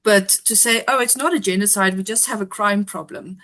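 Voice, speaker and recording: A woman, a guest giving measured, analytical commentary with frequent ums. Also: mid-range voice, bright, forceful tone. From South Africa. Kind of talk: interview discussion.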